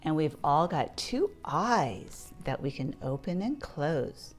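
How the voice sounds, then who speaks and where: A woman's voice: low-pitched, very animated, slow pace, faint music behind. A woman reading a picture book aloud to young children in a playful, interactive storytelling manner. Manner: playful, asks listeners questions and points things out.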